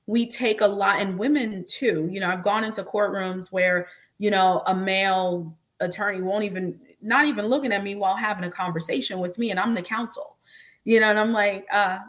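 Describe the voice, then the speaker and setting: A woman with a mid-range voice hosts a casual conversation as a working attorney. She talks in an animated, emphatic way and often repeats words to stress what she means. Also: medium pitch, normal speed. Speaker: host and attorney. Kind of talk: casual podcast-style conversation.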